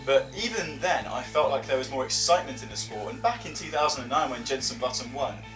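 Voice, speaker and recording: A British man in his early twenties talks to his viewers like a vlogger, wry and mock-dramatic with self-deprecating humour. His mid-pitched voice sounds roomy and set back from the mic, with music playing behind. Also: expressive, fast, distant mic. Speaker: vlogger and radio presenter. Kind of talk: chatty, scripted-feeling opinion vlog.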